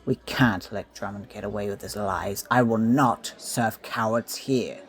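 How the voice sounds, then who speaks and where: A man's voice: lowish voice, a little slow, breathy edge. A man playing a curious, easily indignant pirate companion in an audio play, asking questions in old seafaring phrases.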